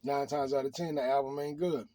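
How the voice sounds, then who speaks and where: A man's voice: medium pitch, steady tone, fairly quick, dark tone, no echo. A middle-aged man talking off the cuff in an opinion monologue, preachy and emphatic, often repeating his phrases.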